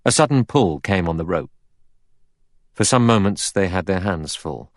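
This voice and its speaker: A man's voice: low-pitched, expressive, normal speed, dry recording, studio quality. A man reading a novel aloud as scripted literary narration, in the role of audiobook narrator.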